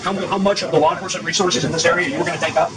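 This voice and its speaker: A stern male deputy asks serious questions in a quick, slightly high voice. He is away from the mic, with loud vehicle noise around him. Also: fairly even intonation. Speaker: sheriff's deputy questioning a child. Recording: very noisy distant outdoor footage, vehicle sounds.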